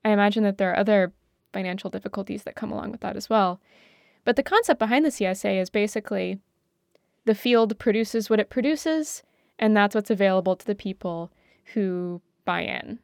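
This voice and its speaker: A woman's voice: medium pitch, a little fast, gravelly, no room sound, studio quality. A woman explaining things casually in conversation as a panelist, informal and thinking aloud as she goes. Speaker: talk show panelist.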